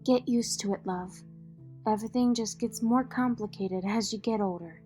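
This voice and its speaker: A woman acting in an audio drama as a poised, protective, wealthy mother and executive, measured, polished and dryly witty. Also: mid-range voice, warm tone, lightly acted. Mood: proud, tender. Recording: music behind the voice.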